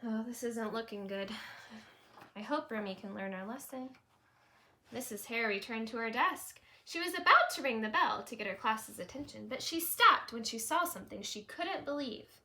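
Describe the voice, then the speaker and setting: A woman reading a storybook aloud for children, with chatty, simple asides and wondering out loud. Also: fairly high pitch, normal speed. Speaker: storybook reader, teacher.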